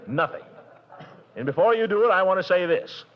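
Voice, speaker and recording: An actor playing a blustering, pompous middle-aged bachelor uncle in a 1940s radio comedy, with a booming laugh. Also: male voice, expressive, a little fast. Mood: indignant. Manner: blustering, booming laugh, swings from gloomy to jubilant. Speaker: lead actor in a radio sitcom. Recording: dull old radio broadcast.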